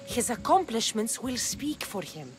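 A tough, lively-voiced warrior hero. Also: female voice, fairly high pitch, measured pace, music in the background. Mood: determined. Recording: game score playing behind.

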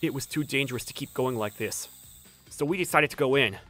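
A man's voice: medium pitch, brisk, a bit bright, soft background music, close to an everyday voice. A young man playing a mild, sensible but easily spooked college student in a manga dub. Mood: calm narrating. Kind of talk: manga dub narration and dialogue.

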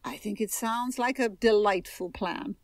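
Actress as older genteel aunt, calm, medium pitch, dry sound. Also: female voice, measured pace, no echo, close to an everyday voice. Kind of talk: audio drama acting.

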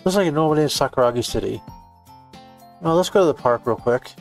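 A man's voice: fairly high pitch, lively intonation, fast talker, gravelly, faint music behind. A man playing a visual novel, casually reading the on-screen text aloud and commenting as he goes.

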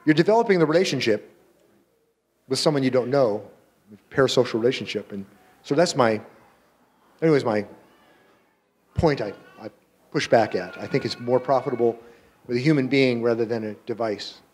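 Older male panelist, earnest and halting, handheld mic, auditorium stage. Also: medium pitch, darkish tone, breathy edge. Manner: earnest, halting, restarts often. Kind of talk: panel discussion.

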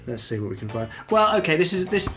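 A man co-hosting a podcast in a casual, self-mocking chat, quick to laugh. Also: fairly high pitch, very animated, fairly bright tone, faint music behind. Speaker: podcast co-host.

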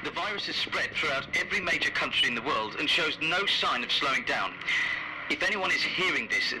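A man playing a grave military radio announcer, formally calling to survivors over a radio transmission. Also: fairly high pitch. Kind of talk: formal emergency broadcast. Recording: very noisy, crackling radio transmission.

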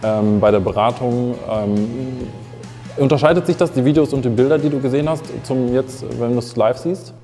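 A man's voice: mid-range voice, normal speed, dark tone, in a large hall, faint music behind. A young adult man hosting a talk show, asking questions casually with some fillers. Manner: interviewing, hesitant with fillers. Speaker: host. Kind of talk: casual interview chat. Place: photo studio with grey backdrop.

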